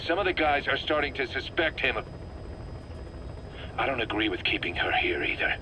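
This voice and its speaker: An actor playing a suspicious, hard-bitten military commander in a video game, terse, grumbling and confrontational. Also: male voice, medium pitch, very animated, moderate pace, noisy background, close to an everyday voice. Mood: hostile, suspicious. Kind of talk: dramatic game voice acting.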